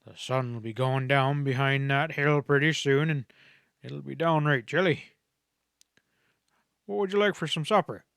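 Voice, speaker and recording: A man voicing a folksy, caring rancher father who jokes gently, in a Western audiobook. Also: medium pitch, a little slow, vocal fry, long pauses, clear, resonant tone, dry recording, clean studio sound. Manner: folksy, drawling, gently joking.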